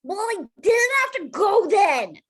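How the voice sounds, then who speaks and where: Woman playing an eager, high-voiced young boy scout. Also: big pitch swings, takes it slowly, a bit bright, full character voice. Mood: whiny, tired. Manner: earnest, childlike, talkative. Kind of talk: table read of an animated film script.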